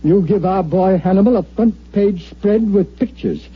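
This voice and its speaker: An actor playing a brash, commanding newspaper editor who talks in punchy orders, in 1950s radio drama style. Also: male voice, high voice, moderate pace, breathy edge. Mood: bossy, excited. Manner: bossy, punchy, wisecracking. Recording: muffled old-time radio recording.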